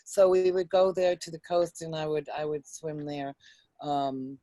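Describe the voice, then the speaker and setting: Slow, mellow-voiced woman, reflective. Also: low voice, steady tone, a little hiss or hum. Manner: hesitant, reflective, halting. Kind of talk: poem reading and casual personal recollection.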